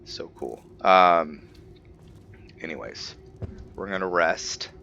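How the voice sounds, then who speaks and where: A man's voice: sits low, slow pace, sharp tone. A man giving casual, improvised game commentary, musing aloud to himself in a tentative way. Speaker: gaming video host. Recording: muffled, with clear background noise.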